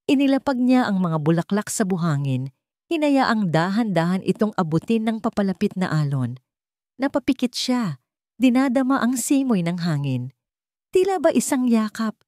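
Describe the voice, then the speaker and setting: A woman with a Filipino accent narrates a scripted dramatic story in a measured, sentimental way. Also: on the low side, darkish tone, no echo, clean studio sound.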